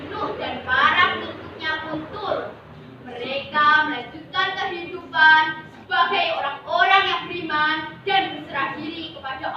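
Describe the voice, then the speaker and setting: A young female contestant performing a memorized religious story in a theatrical, declamatory manner. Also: very high-pitched. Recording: echoey noisy hall, muffled, far off.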